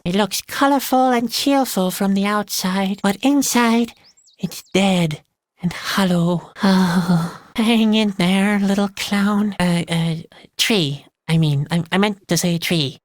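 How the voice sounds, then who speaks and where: High-voiced, melancholy young clown boy. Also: slow, dry recording. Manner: hesitant, mournful musing. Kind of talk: scripted adventure game dialogue. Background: music.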